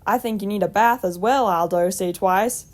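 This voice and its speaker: A woman's voice: medium pitch, normal speed, natural voice. A woman voicing a kindly old male farmer with a folksy, hearty manner and a rural country accent, in a storybook reading. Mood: jovial.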